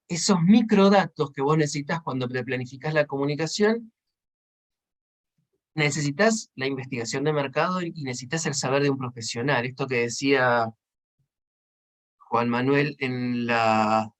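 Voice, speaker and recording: A man's voice: mid-range voice, measured pace. A man with an Argentine accent teaching a seminar informally, explaining conversationally and checking in with short tag questions. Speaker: seminar instructor, consultant.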